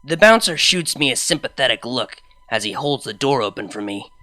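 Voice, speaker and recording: Low, bright, animated woman narrator. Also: moderate pace, no room sound. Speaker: fan fiction reader. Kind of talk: expressive first-person story narration.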